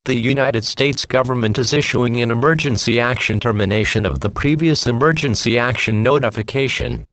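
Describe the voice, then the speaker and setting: Guy reading an emergency broadcast alert, super official and deadpan, scripted announcer stuff. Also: mid-range voice, slight background noise, low-quality recording.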